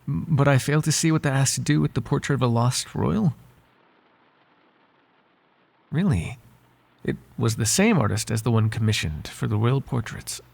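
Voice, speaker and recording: Male actor as devoted, courtly butler for ASMR roleplay; soft-spoken, husky, slightly gravelly, politely flirtatious, prone to hesitation. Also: medium pitch, full character voice. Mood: nervous evasion. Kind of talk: ASMR roleplay voice acting, intimate one-sided dialogue.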